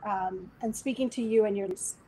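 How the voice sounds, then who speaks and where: A woman from Bangladesh, a tech executive, answering interview questions remotely in a measured way with frequent "um"s. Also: medium pitch, warm tone, a little hiss or hum, low-quality recording.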